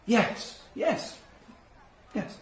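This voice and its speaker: An actor playing an earnest, awkward young aristocratic officer, formally polite and hesitant, in a radio drama. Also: male voice, extremely slow, reverberant, background noise. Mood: flustered.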